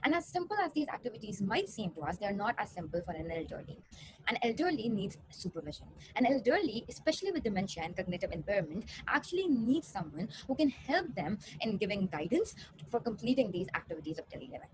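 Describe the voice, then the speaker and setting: A woman's voice: medium pitch, sing-song, fast talker. A young woman, a PhD student, giving a rehearsed research presentation. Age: young adult. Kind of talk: rehearsed conference talk. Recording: clearly noisy recording.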